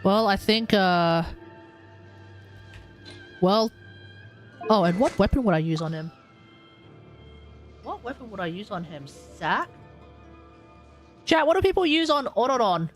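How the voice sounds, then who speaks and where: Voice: mid-range voice, a bit bright. A live streamer giving casual gaming commentary, thinking aloud and talking to the chat.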